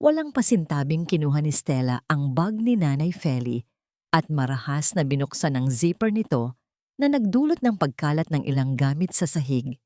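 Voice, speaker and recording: Woman, story narrator, scripted, somber, suspenseful, low pitch, dry recording. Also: very animated, normal speed, warm tone, no echo.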